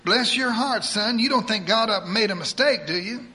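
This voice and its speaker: A woman's voice: fairly high pitch, moderate pace, pushed and bright, pitched above the performer's own voice. A man putting on a chirpy old church lady. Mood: joyful. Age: older. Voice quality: sing-song, preachy, folksy. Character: chirpy, bossy older devout church woman.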